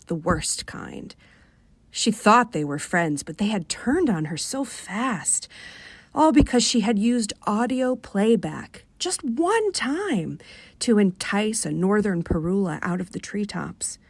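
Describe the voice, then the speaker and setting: A woman with a Rochester, New York accent reading a short story for radio in an expressive, wry manner. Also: medium pitch, slow, no room sound. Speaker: author reading aloud.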